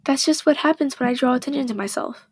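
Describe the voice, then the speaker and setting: A shy teenage girl full of self-doubt, voiced by a woman. She sounds high and hesitant, picking her words with care. Her voice is slightly hoarse and recorded dry and close. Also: quick pace, a little mellow, dry recording. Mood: ashamed.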